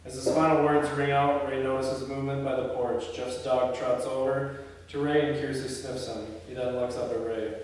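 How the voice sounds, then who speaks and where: A man's voice: medium pitch, little pitch movement, normal speed, distant mic. A man heard from well away from the mic in a strongly reverberant room, with faint noise behind him. He leads a script read-through, reading stage directions aloud and talking casually through the production in a mid-range voice.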